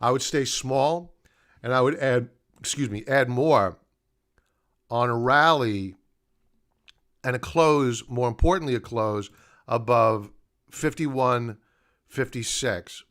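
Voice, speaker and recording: A man's voice: mid-range voice, takes it slowly, pauses often, fairly bright tone. A middle-aged man hosting a live market analysis stream, talking off the cuff and explaining in a conversational, stop-and-start way. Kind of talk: unscripted live commentary, chatting to viewers.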